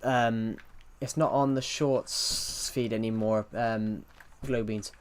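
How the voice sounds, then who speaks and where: A man's voice: lowish voice, fairly even intonation, takes it slowly, clear, resonant tone. A man live streaming from his PC, chatting casually and rambling as he answers chat messages aloud, with a thick accent that leans hard on the R. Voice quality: thick accent, strong R sounds. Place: at home at a PC.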